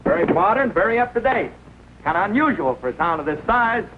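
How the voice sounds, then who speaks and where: A chummy, flattering salesman delivers effusive patter in a high, wildly swooping voice. It is 1940s film comedy style, on a tinny soundtrack with faint noise. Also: a little fast. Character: glib, chummy male travelling salesman, flattering and slangy.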